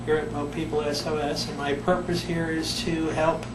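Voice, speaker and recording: An older man, a retired psychologist, answering interview questions slowly and thoughtfully, pausing often. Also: a little high, level tone. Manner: slow, thoughtful, with many pauses and fillers. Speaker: retired behavior psychologist, volunteer. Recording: echoey room, mic at a distance, background noise.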